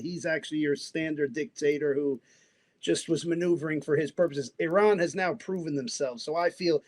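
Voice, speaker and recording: A man's voice: on the high side, a little mellow. A man who grew up in the United States, talking politics on a podcast in a rambling way, full of restarts. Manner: rambling, many restarts and asides. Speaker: podcast guest. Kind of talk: casual political discussion.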